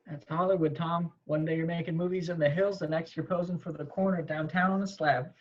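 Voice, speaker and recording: A man's voice: a little high, steady tone, a little fast, close to an everyday voice. A man playing a cynical ex-cop turned film advisor in an amateur table reading of a film script. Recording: dull, muffled home recording.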